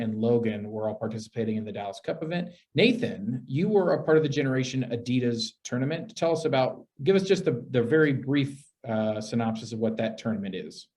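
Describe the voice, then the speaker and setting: A man's voice: medium pitch, expressive, darkish tone. Man hosting a group chat. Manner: brisk, affirming, moves guests along. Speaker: podcast host. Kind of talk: casual interview.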